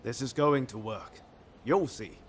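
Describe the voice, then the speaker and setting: A man's voice: mid-range voice, a little slow, slight background noise, natural voice. An actor playing a cocky, idealistic young swordsman in a video game, breezy and self-assured. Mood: confident, earnest. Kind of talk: video game cutscene acting.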